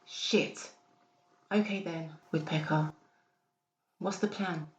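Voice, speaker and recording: A woman acts out a blunt female friend in an audiobook drama, sceptical and full of questions. Her voice sits a little low. The sound is roomy and slightly dull. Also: fairly even intonation, slow pace. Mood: concerned, questioning.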